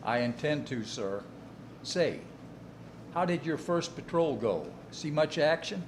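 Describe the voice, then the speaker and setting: An actor playing an eager, confident naval officer, respectful and folksy, in a wartime drama. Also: male voice, on the high side, lively intonation, takes it slowly. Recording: faint background noise.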